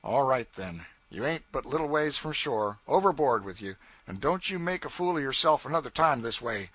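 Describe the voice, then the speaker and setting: A gruff raftsman boss questions a stowaway in a commanding, no-nonsense way, as a man reads him aloud. The voice is bright and slightly husky, with lively pitch, and the recording is close and dry. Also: moderate pace. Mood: stern. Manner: gruff, commanding, then lenient. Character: stern but fair older raftsman leader.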